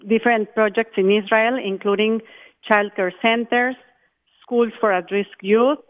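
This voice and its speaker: A woman from Mexico with a Mexican Spanish accent, chair of a charity group, speaking carefully with halting restarts. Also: medium pitch. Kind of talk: phone interview. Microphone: phone. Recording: tinny phone line.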